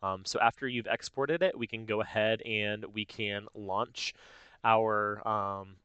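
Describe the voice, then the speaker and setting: A man with a fairly low, clear voice narrates a software tutorial. He talks informally, working through each step aloud. The sound is dry, with very little room in it. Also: steady tone, a little slow, no echo, highs cut off. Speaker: tutorial host.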